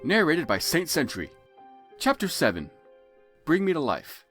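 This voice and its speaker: Theatrical male narrator with lively pitch. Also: a little slow, soft background music. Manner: theatrical, exaggerated. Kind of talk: dramatic comic reading of fan fiction.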